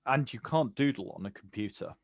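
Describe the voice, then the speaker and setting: A man acting a watchful, quietly wounded poet in a naturalistic stage play, speaking deliberately in terse, repeated phrases. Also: mid-range voice, no echo, natural voice. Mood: awkward, gentle.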